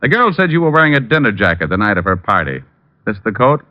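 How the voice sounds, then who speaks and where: An actor playing a wisecracking, hard-boiled private detective in an old radio crime drama, with a wry, needling, slangy delivery. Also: male voice, on the low side, big pitch swings, fast. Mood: confident, accusing. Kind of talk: 1940s-50s radio crime drama acting. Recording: muffled old radio broadcast.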